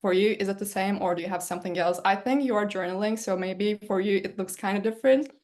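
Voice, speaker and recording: A woman's voice: mid-range voice, fast, darkish tone. Turkish-native woman chatting about languages, easygoing, laughs a lot. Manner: easygoing, laughs often, filler "kinda". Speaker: polyglot guest. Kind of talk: casual conversation.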